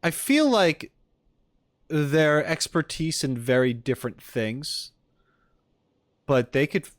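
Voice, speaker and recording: A man's voice: a little high, lively intonation, takes it slowly, no echo, studio quality. A man chatting casually as a streamer to a live audience, rambling and playful. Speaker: streamer reacting to game content.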